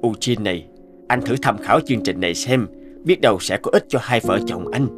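A man plays an older cartoon doctor, calm and authoritative. His fairly low voice gives advice in a measured way, with music behind it. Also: lightly acted. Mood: helpful. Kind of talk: cartoon drama acting.

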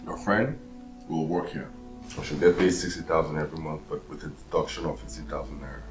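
Blustering Nigerian boss, echoey room. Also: male voice, extremely low pitch, steady tone, fairly quick, music in the background. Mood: cold, dismissive. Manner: blustering, repeats himself insistently. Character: angry, domineering, callous wealthy male employer. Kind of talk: film drama acting.

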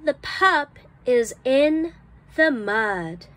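A woman reading an early phonics book aloud for children as a teacher, enunciating each word deliberately and carefully. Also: high voice, expressive, a bit bright. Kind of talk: slow read-aloud of an early reader for children.

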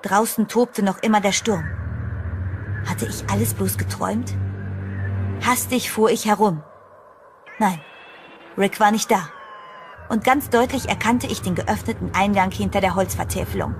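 A woman's voice: mid-range voice, a little slow, long pauses, natural voice. An actress playing a frightened young woman who narrates her ordeal in a horror audio drama, dramatic and suspenseful. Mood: tense, bewildered. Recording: music behind the voice.

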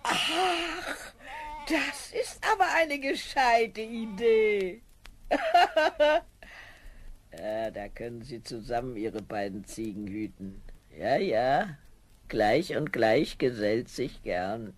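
A woman's voice: very deep voice, big pitch swings, drawn-out words, slight background noise, full character voice. An actress playing a fussy, long-suffering middle-aged peasant mother in a children's fairy-tale radio play, fretful and full of exclamations. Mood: flustered.

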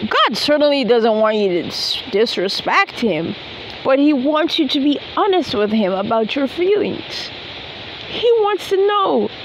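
A young woman from the Bahamas talking earnestly and slowly about her faith to a phone camera held at arm's length in an open field. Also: sits high, sing-song, slight background noise, rough recording. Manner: earnest, unhurried, sincere faith talk. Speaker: gospel songwriter and vlogger. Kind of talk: casual talk to camera, then unaccompanied singing. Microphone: phone camera at arm's length with earphone mic.

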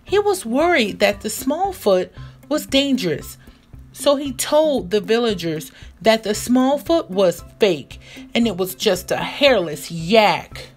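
Female children's read-aloud narrator: higher-pitched, expressive, unhurried, with music underneath. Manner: expressive, deliberate storytelling. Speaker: children's storybook reader.

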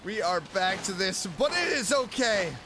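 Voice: mid-range voice, sing-song, normal speed, noisy background. A gaming streamer giving casual, playful, reactive commentary and reading dialogue over a game with music behind.

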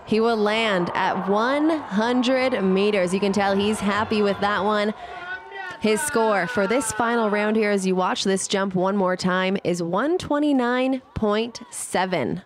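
A woman giving scripted sports highlights commentary as a voice-over. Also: unhurried. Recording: clear ambient event noise behind voice.

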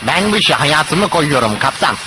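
A man's voice: fairly high pitch, big pitch swings, measured pace. A man voicing a naive, talkative creature, a pirate's eager bumbling sidekick, in a cartoon dub with earnest, oblivious chatter. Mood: confident, eager. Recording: very noisy cartoon soundtrack.

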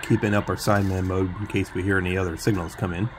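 A man giving low-key, tentative casual commentary as a radio hobbyist. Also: level tone, fast talker. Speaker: shortwave radio hobbyist narrating. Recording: loud radio static and hiss. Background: shortwave radio static.

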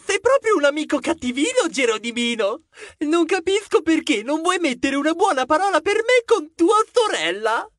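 A cheeky little male mouse detective, acted by a woman, pitched very high and recorded dry and close. He is bossy and teasing, forever exclaiming and scolding his friend in a children's audio story. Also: sing-song, normal speed, no echo.

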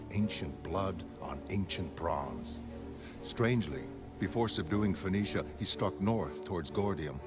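A man performs a wealthy male visionary with a deep voice, utterly sure of his own brilliance. He speaks in measured, lofty, formal sentences, like a learned monologue from an animated comic. Music plays prominently behind him. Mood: reverent, lofty. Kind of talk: audiobook-like dramatic monologue in a motion comic. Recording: studio voice over prominent music.

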